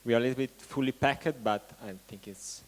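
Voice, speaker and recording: Man giving a halting welcome speech. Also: medium pitch, steady tone. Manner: halting, restarts phrases often. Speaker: event host.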